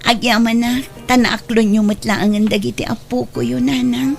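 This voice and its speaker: Grown daughter, played by an actress, forgiving and respectful, high voice, Ilocano accent, radio drama with music. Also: female voice, fairly even intonation, moderate pace, gravelly. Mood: gentle, forgiving. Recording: music bed behind the voice.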